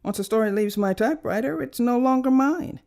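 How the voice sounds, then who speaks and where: A woman's voice: a little high, brisk, darkish tone, lightly acted. An actress playing a curt, weary woman writer in her sixties in an audio drama, with a dry, dismissive manner. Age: 60s. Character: prickly, weary 66-year-old female cult writer.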